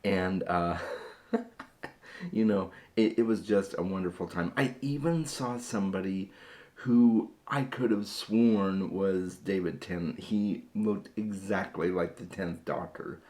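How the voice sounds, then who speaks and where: Book vlog host: very deep, hoarse male voice, easygoing chatter with frequent restarts. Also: takes it slowly, darkish tone. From Phoenix area, USA. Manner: chatty, repeats words when starting sentences. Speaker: book vlogger. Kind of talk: casual chat to camera.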